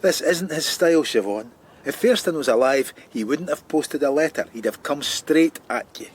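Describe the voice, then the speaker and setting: An actor playing a brooding, sardonic middle-aged police detective in a radio drama, with a husky voice. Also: male voice, a little high, expressive, moderate pace. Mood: pressing.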